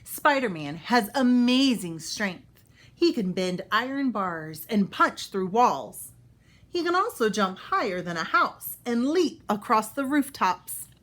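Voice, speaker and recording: A woman reading a children's book aloud as a storyteller, expressive and pausing to explain things for young listeners. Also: on the high side.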